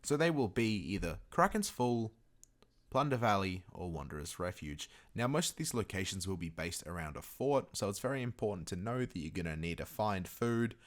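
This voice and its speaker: A man's voice: on the low side, expressive, moderate pace. A man narrating a video game tutorial in a casual, chatty way, with emphatic, informal asides. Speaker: gaming video creator presenting a guide.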